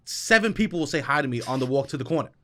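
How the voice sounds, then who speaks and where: A man's voice: mid-range voice, rapid-fire. A man with a New York accent, a podcast co-host telling loose, profane stories in casual chat. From Brooklyn, New York. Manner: loose and profane, animated storyteller.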